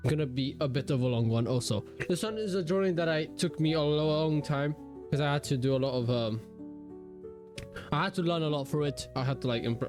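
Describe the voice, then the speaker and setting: A young man with a cold talks loosely over his drawing video, his voice sitting at an ordinary middle pitch and a little deeper than he says it usually is. He rambles, stops and restarts, and leans on lots of ums and uhs, while soft guitar music plays quietly underneath. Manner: rambling, many fillers and restarts. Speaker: digital art YouTuber. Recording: faint guitar music behind. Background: faint guitar music.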